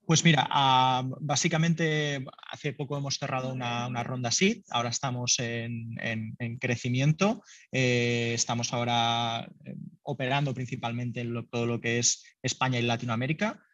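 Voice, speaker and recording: A man's voice: medium pitch, level tone, normal speed, a bit bright, no echo, highs cut off. A man, a startup founder answering interview questions in a loose, conversational way with lots of fillers. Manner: loose and conversational, many fillers, laughs lightly.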